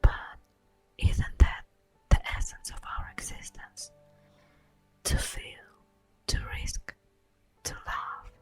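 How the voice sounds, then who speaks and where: Woman acting as immortal elf woman, hushed whisper, unhurried theatrical pauses, wistful earnest reproach, ASMR roleplay, close microphone. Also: lightly acted. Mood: earnest, impassioned. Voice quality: breathy, whispered. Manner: slow, theatrical, lingering pauses. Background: faint music.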